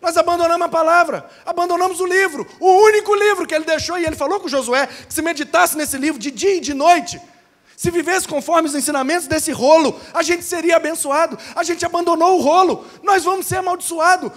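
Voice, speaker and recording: A man's voice: very high-pitched, fairly quick, fairly bright tone, pitched above the performer's own voice. Man playing a distraught young king in a reverberant hall. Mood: anguished, pleading. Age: young adult. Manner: exclaiming, repetitive, impassioned questioning. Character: distraught young king, aged twenty-six, pleading and fearful.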